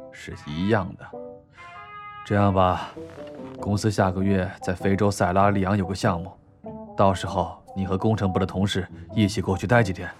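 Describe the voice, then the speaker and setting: An actor playing a calm, patient, middle-aged uncle and company boss in an audio drama, advising in a measured way. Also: male voice, sits low, lightly acted. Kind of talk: modern audio drama acting.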